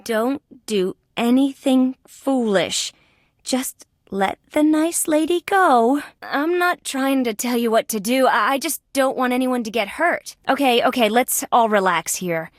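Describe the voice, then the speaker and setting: A woman playing a young, wry, determined female insect police detective in a scripted adventure video game, with conversational, clearly spoken patter. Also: lowish voice, lively intonation, normal speed, dry recording, studio quality. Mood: anxious pleading.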